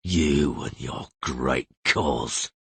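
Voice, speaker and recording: A man voicing a wounded monster hunter in a fantasy audiobook, slow, bitterly sarcastic and coldly angry. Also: very deep voice, vocal fry, breathy edge, no echo, pitched below the performer's own voice, exaggerated voice. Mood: bitter anger. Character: wounded male monster hunter, bitter, sardonic, cold anger.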